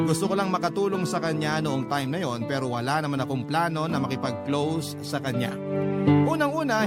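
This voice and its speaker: A man reading out a first-person romance letter as a radio drama narrator, in a confiding storytelling manner. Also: a little high. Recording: loud plucked guitar music behind.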